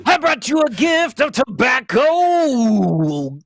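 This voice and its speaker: A woman voicing an earnest young male cadet with a husky, creaky voice in a hammy radio play. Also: sits high, sing-song, slow, no room sound, studio quality, voice pushed up, full character voice. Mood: loud, indignant.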